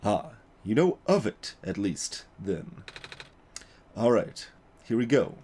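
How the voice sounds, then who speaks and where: A man's voice: fairly low pitch, very animated, dark tone, a little hiss or hum. A man voicing an earnest, hesitant young male video game character who speaks in careful, formal words. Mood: solemn, explanatory. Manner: halting, careful, formal wording. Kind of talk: voiced reading of video game dialogue.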